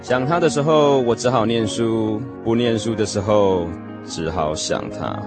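An actor playing a lovesick young man, telling his lost first love in an expressive, emotional radio drama style. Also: fairly low pitch, unhurried, close to an everyday voice. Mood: wistful longing. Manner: expressive, sighing, emotional storytelling. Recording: music playing behind the voice. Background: music.

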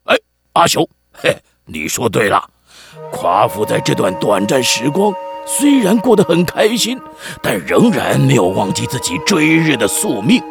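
Creaky, wildly animated village chief. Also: male voice, mid-range voice, measured pace, exaggerated voice. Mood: dramatic storytelling. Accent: Taiwanese. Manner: theatrical, teasing, stammering at times. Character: jolly, chatty village chief telling tall tales. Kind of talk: children's radio drama storytelling. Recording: music bed behind the voice.

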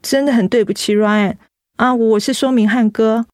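A young office woman played by an actress in a radio drama, keeping her troubles to herself. Her slightly high voice has a Taiwanese Mandarin accent and a hesitant, apologetic manner, recorded close and dry. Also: steady tone, normal speed, no echo, natural voice. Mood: apologetic, subdued. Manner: hesitant, apologetic, softly evasive.

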